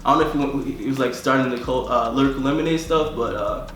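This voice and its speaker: A man's voice: mid-range voice, fast, a bit bright, reverberant, slight background noise. A young man from Tanzania, a musician talking casually in an interview and filling nearly every phrase with "like".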